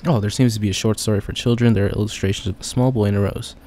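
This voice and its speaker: A man's voice: lowish voice, steady tone, rapid-fire, dark tone, slight background noise. A young man playing a game casually, reading its text aloud and joking about it. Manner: chatty, reads text then jokes about it. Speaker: gamer.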